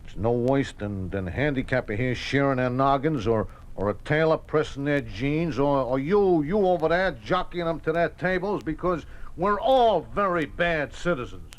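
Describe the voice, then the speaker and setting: An American actor playing a folksy, defensive racetrack bookie in a 1950s radio drama, talking in streetwise slang with stammered repetitions. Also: male voice, fairly high pitch, lively intonation, moderate pace, lightly acted. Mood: explaining, self-justifying. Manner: streetwise slangy talk, hesitant repetitions, aggrieved. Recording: noisy old radio broadcast recording.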